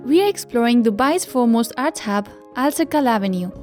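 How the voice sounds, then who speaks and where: High-voiced woman narrating a travel documentary. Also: lively intonation, fairly quick, soft background music. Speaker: presenter, architect and photographer.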